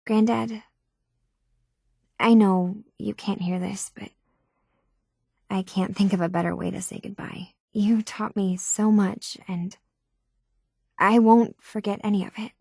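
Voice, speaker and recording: An actress playing an earnest, troubled young woman searching for answers in a video game, speaking in a halting, hushed way. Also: medium pitch, steady tone, creaky, husky, dry recording, clean studio sound. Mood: grieving, tender.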